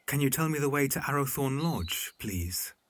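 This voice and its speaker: A man playing a polite, earnest, slightly awkward young gentleman in a period audio drama. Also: medium pitch, animated, normal speed, a little hiss or hum, close to an everyday voice. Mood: polite, puzzled. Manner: courteous, earnest.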